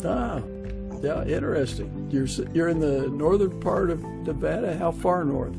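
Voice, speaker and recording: A man speaks as a podcast host in a slightly high register. His delivery is that of a prepared introduction and friendly interview chat. Music plays clearly behind him. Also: lively intonation, a little slow.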